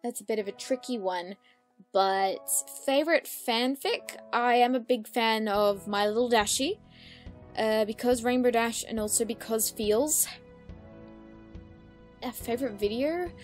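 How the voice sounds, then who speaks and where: High-pitched woman chatting over music. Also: unhurried. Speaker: YouTuber answering viewer questions. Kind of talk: casual solo Q&A chat. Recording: background music behind the voice.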